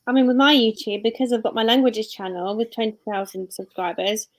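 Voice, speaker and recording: A woman's voice: fairly high pitch, fairly even intonation, fairly quick. A British woman in her thirties hosting a live stream, talking in a rambling way and often correcting herself. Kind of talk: casual live-stream chat.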